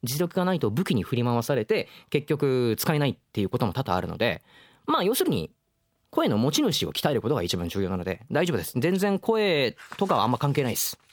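A man's voice: mid-range voice, huge pitch swings, fast talker, dry recording, clean studio sound. A man hosting a radio show, giving earnest, frank advice in casual, slangy chat. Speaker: radio show host, voice actor.

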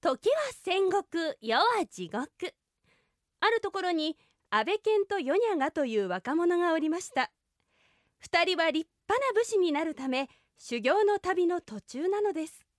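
Very high-pitched woman storyteller. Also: very animated, a little slow, no room sound, clean studio sound, exaggerated voice. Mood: storytelling. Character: storyteller narrating a comic samurai tale. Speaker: storybook-style narrator of a radio drama. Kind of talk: scripted radio drama narration.

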